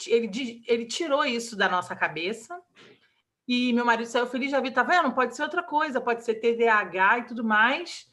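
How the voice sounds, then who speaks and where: A woman in her thirties with a Brazilian Portuguese accent, an interview guest talking about her child, emotional and halting, with many restarts. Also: fairly high pitch, bright, forceful tone. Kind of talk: candid personal interview.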